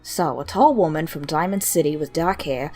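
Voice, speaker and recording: A woman voicing a confident, teasing female shopkeeper, blunt and dry with a soft heart, in a story reading. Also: low voice, moderate pace, soft background music. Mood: wry, puzzled.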